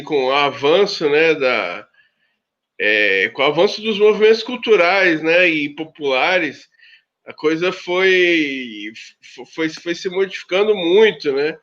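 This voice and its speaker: Halting man on dull video-call audio. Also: fairly high pitch, fairly bright tone. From Rio de Janeiro state, Brazil. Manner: halting, with many restarts and fillers. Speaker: researcher on a panel. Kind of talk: casual academic debate talk.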